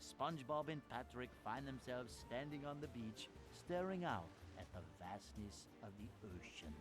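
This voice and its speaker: A man as a pompous, animated storyteller. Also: mid-range voice, normal speed, natural voice. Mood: grave, dramatic. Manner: pompous, theatrical. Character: grandiose, theatrical male storyteller, fussily dramatic. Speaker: narrator. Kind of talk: melodramatic storybook narration. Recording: music behind the voice.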